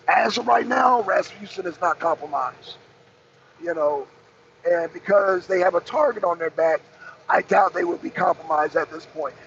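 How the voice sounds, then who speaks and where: A man with an American accent speaks in a high, raspy voice full of gravelly fry. He delivers off-the-cuff political commentary as a show host, hammering key phrases by repeating them. The audio is dull, with noticeable noise behind him. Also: a bit bright.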